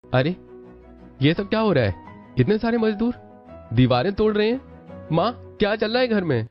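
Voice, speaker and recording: A man's voice: high-pitched, big pitch swings, moderate pace, voice pushed up. A teasing young office-going brother from a cartoon family story, acted by a man. He talks in a youthful, bantering way. The recording is dull, with faint music under the voice. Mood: surprised. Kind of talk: Hindi cartoon story dialogue.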